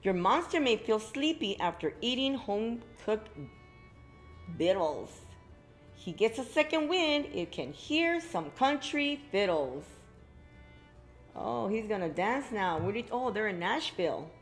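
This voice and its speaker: A woman's voice: mid-range voice, sing-song, unhurried, soft background music. A middle-aged woman reading a story aloud to young children, expressively, with exclaimed asides to her listeners. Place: indoor library room with bookshelves.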